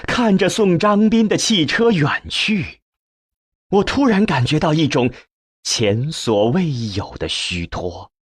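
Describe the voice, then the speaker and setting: A man reading a novel as a scripted narration, with measured, expressive storytelling and a husky voice. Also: a little high, no echo. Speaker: audiobook narrator.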